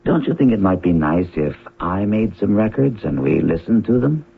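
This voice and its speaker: An actor playing an earnest, probing, worried family friend in a 1970s radio drama. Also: male voice, on the low side, big pitch swings, moderate pace, gravelly. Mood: gently probing. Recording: tinny, noisy old radio recording with hum.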